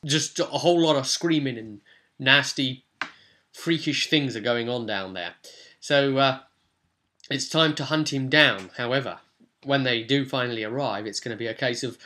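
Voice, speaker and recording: A man giving an informal, chatty walkthrough as a tabletop game master, with animated asides. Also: medium pitch.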